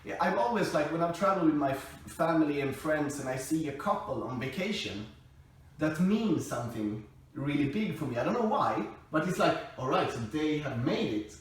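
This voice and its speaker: A man's voice: fairly high pitch, animated, moderate pace. A young man who is not a native English speaker, telling stories casually to camera with frequent laughs and fillers. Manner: laughs often, hesitant with many fillers. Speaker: groom-to-be co-hosting a couple's video. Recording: echoey room from far off, faint hiss.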